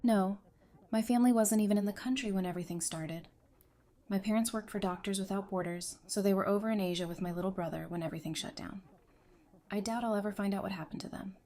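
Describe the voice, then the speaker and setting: A woman's voice: medium pitch, fast, mellow, natural voice. An actress playing a resilient woman survivor in an audio drama, with a mid-range voice. She talks frankly and has a teasing streak. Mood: subdued, resolute. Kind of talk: fan audio drama dialogue.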